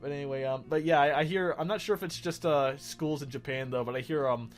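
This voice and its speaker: A man's voice: a little high, fast, faint music behind. A man doing casual, rambling game commentary as a let's play host, often correcting himself as he goes.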